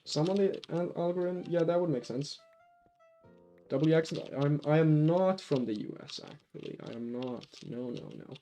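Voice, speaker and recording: Finnish-accented male streamer, mellow tone, faint music behind, loose rambling delivery. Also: fairly high pitch, normal speed. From Finland. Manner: rambling, lots of restarts and fillers. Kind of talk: casual live-stream chat while gaming.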